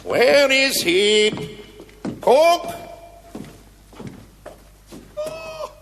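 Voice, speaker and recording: An actor playing a drunken, irreverent British stonemason in a television play, declaiming grandly and rapturously. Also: male voice, very high-pitched, huge pitch swings, drawn-out words, background noise, exaggerated voice. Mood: irreverent, ranting. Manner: declamatory, wildly swooping, grandiloquent. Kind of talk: theatrical television play acting. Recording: echoey hall, long reverb.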